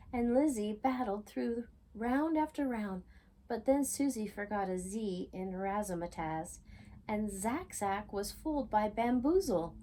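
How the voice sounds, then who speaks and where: A woman's voice: fairly high pitch, dark tone. A woman reading a children's storybook aloud expressively, with chatty asides to the listener. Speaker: storytime reader.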